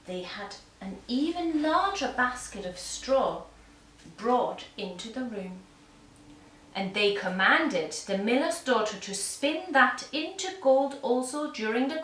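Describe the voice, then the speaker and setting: Slow, high-voiced woman storyteller. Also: a bit bright. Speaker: storyteller and puppeteer. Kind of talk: scripted fairy-tale narration for young children. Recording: echoey room, distant mic, faint noise.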